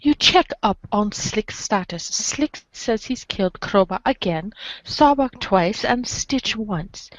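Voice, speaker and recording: A woman reads a script aloud as a narrator on a podcast. Her mid-pitched delivery is slow and deliberate, with a dramatic storytelling style. The sound is dry and slightly dull, like a home recording. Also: no echo. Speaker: podcast reader.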